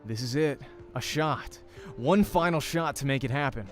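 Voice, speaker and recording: A man's voice: medium pitch, normal speed. Man narrating a visual novel, music underneath. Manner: informal, breaks off to comment on slips. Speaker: voice actor reading a game. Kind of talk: first-person story narration of visual novel. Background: faint game music.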